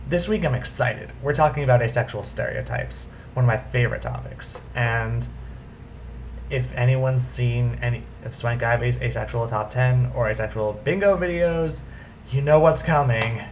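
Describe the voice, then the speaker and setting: Young man, deep voice, animated vlog host, swings pitch for emphasis, slightly dull recording, faint hiss. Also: normal speed. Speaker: vlogger host. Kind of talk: casual talking-head vlog.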